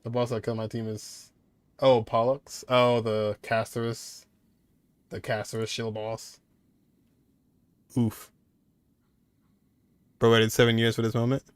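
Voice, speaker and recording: A man's voice: medium pitch, little pitch movement, unhurried, long pauses, smooth, no echo. A man streaming a video game, giving casual, laid-back live commentary in short muttered reactions.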